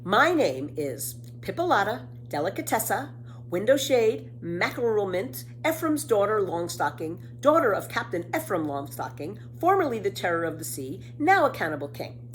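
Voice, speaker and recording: A woman's voice: mid-range voice, big pitch swings, moderate pace, a little hiss or hum, close to an everyday voice. A woman voicing a bold, cheeky little girl in a children's storybook, emphatic and defiant. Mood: breezy, cheeky.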